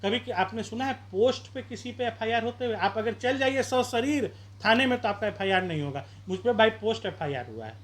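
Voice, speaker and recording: A man from Bihar, an election candidate, arguing forcefully in a street interview with rhetorical questions and campaign appeals. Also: high voice, lively intonation, fairly quick, few pauses. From Bihar, India.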